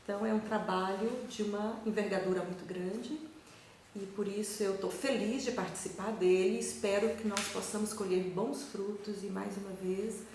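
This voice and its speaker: A professor with a Brazilian accent speaks in a calm, measured way, asking one rhetorical question after another. She is a woman of middle pitch, and her voice sounds far from the mic in a very echoey room with a faint hiss behind it. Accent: Brazilian Portuguese. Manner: measured, reflective, poses many rhetorical questions. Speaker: university professor. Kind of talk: recorded talk to a conference.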